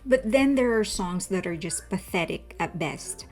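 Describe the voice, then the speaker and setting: A woman's voice: medium pitch, expressive, a little slow, darkish tone. A woman speaking frankly and unhurriedly. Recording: slightly muffled, faint background music.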